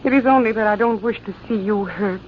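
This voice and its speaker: Casting: actress as anxious young gentlewoman, formal and pleading, old radio melodrama, dull noisy recording. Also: mid-range voice, brisk, lightly acted. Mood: tender, worried. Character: anxious, dignified young Russian lady of the house. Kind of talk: old-time radio drama acting.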